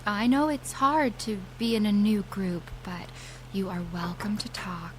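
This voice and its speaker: Slow, solemn woman leading a grief group, acted. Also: mid-range voice, animated, a little hiss or hum, lightly acted, low-quality recording. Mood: gentle, consoling. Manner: measured, formal, deliberate phrasing. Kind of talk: fiction podcast acting.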